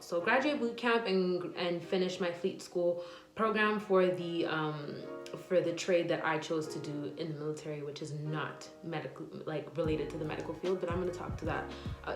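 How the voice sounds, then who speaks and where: Young woman in her 20s, lowish voice, rambling casual vlogger delivery, echoey room. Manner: chatty, rambling, many "like" and "um" fillers. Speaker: nurse and vlogger. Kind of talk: casual Q&A vlog, reading viewer questions. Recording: echoey room with faint music.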